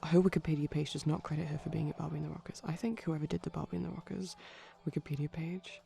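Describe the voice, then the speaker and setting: A man speaking softly in a chatty, rambling ASMR style, often dropping to a whisper, digressive and tentative. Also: on the high side, flat delivery, fast talker, creaky. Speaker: ASMR performer. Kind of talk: soft-spoken rambling ASMR commentary on a film. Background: TV film audio playing in background.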